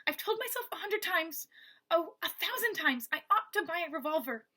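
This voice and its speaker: A woman voicing a strong, stern older woman who speaks in hushed, urgent whispers. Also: extremely high pitch, animated, normal speed, pitched above the performer's own voice, full character voice.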